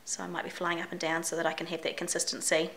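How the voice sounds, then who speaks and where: A middle-aged New Zealand woman with a bright, forward voice and a slight croak. She talks openly and chattily, with fillers and restarts, in a personal video diary. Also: on the low side, flat delivery, fast talker. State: croaky voice from a chest infection. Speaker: vlogger.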